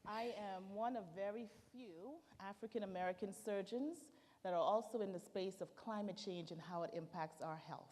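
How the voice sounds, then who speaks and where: A woman with a lilting Bahamian accent, a surgeon explaining herself in a measured, deliberate way on a panel. Her voice is mid-pitched for a woman, and a long hall reverb trails after it. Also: darkish tone, slight background noise. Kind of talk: panel discussion.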